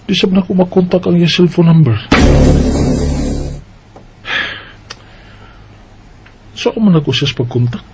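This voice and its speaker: An actor playing a heartbroken young overseas worker in a radio drama, earnest and emotive, with a halting delivery. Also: male voice, high-pitched, lively intonation, a little mellow, slight background noise. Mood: wistful narration. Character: heartbroken overseas worker, a young man searching for his girlfriend. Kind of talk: radio drama acting and narration.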